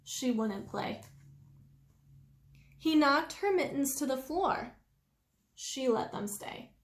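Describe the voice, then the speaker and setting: A woman reading a children's story aloud expressively, with deliberate, drawn-out emphasis. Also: high-pitched, lively intonation. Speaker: storytime reader. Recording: roomy indoor echo.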